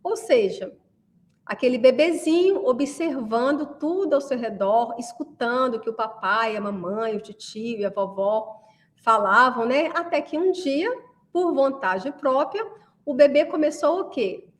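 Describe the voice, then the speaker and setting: A woman's voice: high-pitched, expressive, a little slow, in a large hall. A woman in her thirties giving a friendly online class, chatty and often checking in with the listener. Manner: chatty, keeps asking "né?" to the viewer. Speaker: course presenter.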